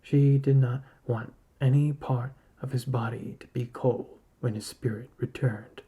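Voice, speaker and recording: A man narrating literary fiction as a podcast host, in a measured, dramatic reading manner. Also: medium pitch, fairly even intonation, dark tone, husky.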